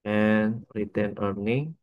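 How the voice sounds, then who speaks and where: A man with an Indonesian accent lecturing as a teacher, working through an example step by step in a halting, repetitive way with frequent checking tags. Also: on the low side, narrow, tinny audio. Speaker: accounting lecturer.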